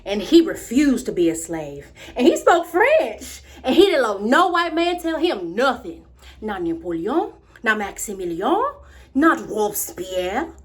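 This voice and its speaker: An imaginative, excitable young girl, acted by a woman with an American accent, tells her story as a theatrical monologue. The voice is high and very animated, moving dramatically between confiding and proud exclamation. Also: unhurried. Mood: awed, proud. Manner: dramatic, wide swings from hushed confiding to exclaiming.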